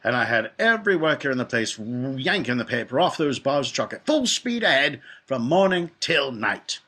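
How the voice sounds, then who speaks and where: A man performs a wealthy, doting factory-owning dad from a storybook. He brags emphatically and folksily, in a bright, forceful voice that swings widely in pitch and has a husky edge. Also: big pitch swings, moderate pace, full character voice. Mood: boastful, eager. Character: boastful, doting rich father, a peanut factory owner. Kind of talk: animated storybook character voice.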